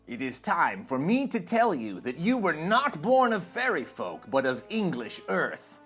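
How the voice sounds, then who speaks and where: A man voicing a wise old hermit in a storybook, speaking in a solemn, measured manner. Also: fairly high pitch, wildly swooping pitch, fairly bright tone, slightly rough, soft background music, heavily acted. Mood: solemn, gentle. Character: old hermit, wise solemn male mentor revealing a destiny.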